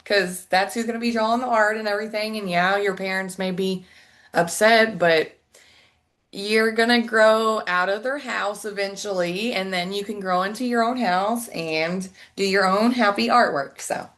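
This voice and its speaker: A woman's voice: medium pitch, normal speed, fairly bright tone. A woman hosting a casual interview podcast, chatty and effusive, rambling through her thoughts over a video call. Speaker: podcast host.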